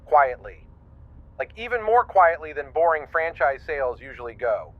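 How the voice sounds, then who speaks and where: A man playing a quirky, earnest, fretful young investigator in an audio drama, deadpan and precise, heard over a phone call. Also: fairly high pitch, normal speed. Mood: conspiratorial. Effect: phone call filter. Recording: thin, tinny phone line.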